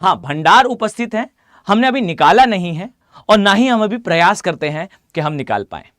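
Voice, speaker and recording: A hoarse man with an Indian accent teaching a live online class, often asking students to reply. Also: high-pitched, big pitch swings, moderate pace, fairly bright tone, no echo, clean studio sound. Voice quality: mixes Hindi with English phrases. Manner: addresses students directly, asks them to reply.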